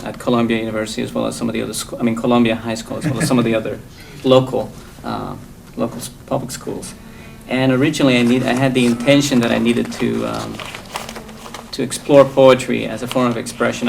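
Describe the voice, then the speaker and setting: A poet from El Salvador answering interview questions in a thoughtful, halting way. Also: male voice, medium pitch, vocal fry, a little hiss or hum. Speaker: poet guest.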